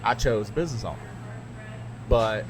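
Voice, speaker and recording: A man's voice: lowish voice, moderate pace, noisy background. Candid talkative radio man host. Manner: candid, emphatic, storytelling with restarts. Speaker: podcast host and radio broadcaster. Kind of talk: casual chat. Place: radio studio.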